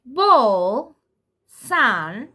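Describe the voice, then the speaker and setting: Female children's book reader, higher voice, lively melodic swings, very unhurried word-by-word delivery for little ones. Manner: simple, deliberate word-by-word delivery. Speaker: presenter showing and reading children's books. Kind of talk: slow read-aloud for toddlers.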